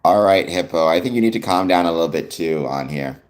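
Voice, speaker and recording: Low, lively Canadian man chatting on stream. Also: a little fast. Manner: easygoing, many ums, reads and answers chat comments. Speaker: livestream host. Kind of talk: casual live-stream chat answering viewers.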